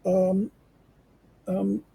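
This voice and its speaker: Hoarse teacher guy reading aloud, stumbles a lot, fairly high voice, dark and raspy. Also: monotone, extremely slow. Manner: hesitant, many fillers and restarts. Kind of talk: halting read-aloud with commentary.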